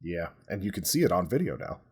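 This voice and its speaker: A man with a husky voice telling history conversationally, as a podcast host. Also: sits low, big pitch swings, fast.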